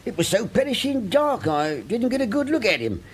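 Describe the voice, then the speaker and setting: An actor playing a shaken London chip shop regular in a radio drama, with a husky voice and colloquial talk. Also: male voice, high voice, very animated, normal speed, full character voice. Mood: rueful, unsure. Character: shaken cockney fish bar regular, man with failing eyes.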